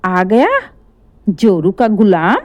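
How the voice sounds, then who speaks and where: A woman voicing an angry middle-aged mother who scolds and shouts at her son. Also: mid-range voice, slow, lightly acted. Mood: furious.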